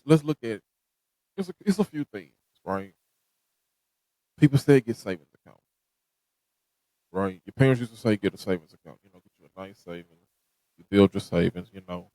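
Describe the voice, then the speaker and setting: A man's voice: lowish voice, warm tone, no echo, low-quality recording. A man hosting a podcast, giving a direct, motivational talk that repeats its points. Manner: preachy, direct, repeats himself. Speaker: podcast host.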